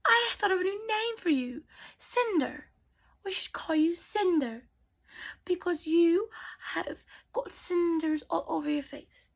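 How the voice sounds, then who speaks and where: A woman voicing a mean young stepsister in a storybook tale, speaking high and mocking. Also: animated, a little slow, vocal fry, heavily acted. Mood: snide.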